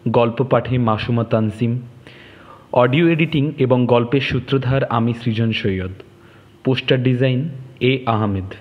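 A man's voice: medium pitch, a little slow. A man reading a scripted introduction and credits as the presenter of an audio story. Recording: dull, lo-fi sound.

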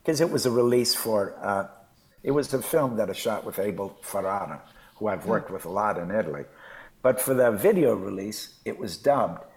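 A man's voice: on the low side, vocal fry. An older man chatting as a podcast co-host, thoughtful and hesitant with many fillers. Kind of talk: casual conversation.

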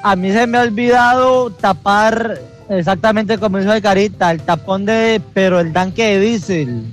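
A man's voice: sits high, normal speed, soft background music. A man telling a rambling, self-correcting funny story on a radio show. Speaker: radio show cast member.

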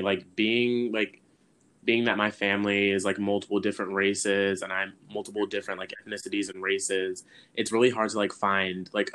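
A man's voice: fairly low pitch, a bit bright. A young man speaking candidly in a group discussion, informal and hesitant, with "like" in nearly every phrase.

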